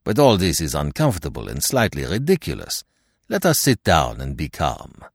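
A man voicing a mild, unruffled older French-speaking detective with a measured, courteous manner in an audiobook. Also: medium pitch, wildly swooping pitch, gravelly, slightly rough, no echo, clean studio sound, voice pushed up, full character voice. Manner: measured, mildly amused, courteous. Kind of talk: audiobook character dialogue.

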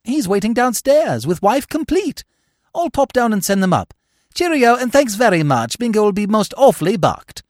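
Man playing a high, breezy young English toff. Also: big pitch swings, normal speed, no room sound, clean studio sound. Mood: cheerful. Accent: upper-class English. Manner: airy, chirpy, blithe. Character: breezy, foppish young English gentleman, cheerfully slangy.

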